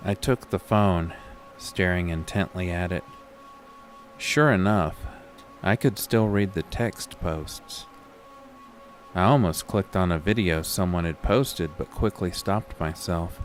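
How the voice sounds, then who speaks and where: A man's voice: deep voice, slow pace, faint music behind. A man playing a haunted, weary and uneasy narrator in a scripted horror audio drama told in the first person.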